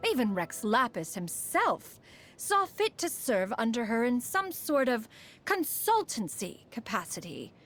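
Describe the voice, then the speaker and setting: A haughty, melodic ancient immortal. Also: female voice, on the high side, very animated, slow pace, fairly bright tone, heavily acted. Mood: curious, reserved. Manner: formal, haughty, calls herself "one". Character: proud, refined, ancient female bird immortal, aloof yet fond. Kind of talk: scripted video game character voice lines. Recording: music behind the voice.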